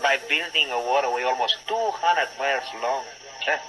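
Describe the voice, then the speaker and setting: An actor's earnest Venezuelan idealist, noisy vintage radio. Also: male voice, medium pitch, lively intonation, moderate pace, lightly acted. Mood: hopeful. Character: earnest, idealistic Venezuelan man with big dreams. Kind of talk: 1940s radio drama acting. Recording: noisy old radio broadcast recording.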